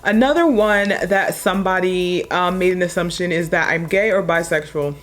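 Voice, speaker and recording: A young woman with a deep voice chatting casually as a makeup vlogger, low-key and chill but blunt when she makes a point. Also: normal speed, soft background music. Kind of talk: casual chat while doing a makeup tutorial.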